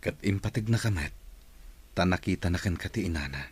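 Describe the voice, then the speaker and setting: Casting: sincere Filipino employer in love, very deep, husky, radio drama. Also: male voice, lively intonation, fairly quick, no echo, heavily acted. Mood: romantic, earnest. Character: earnest widowed father and boss, gently courting his employee. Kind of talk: Ilocano radio drama acting.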